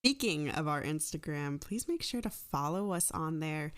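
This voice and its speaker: Lowish-voiced woman, casual podcast host. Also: lively intonation, moderate pace. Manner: joking, self-deprecating, informal. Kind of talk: casual, rambling podcast outro.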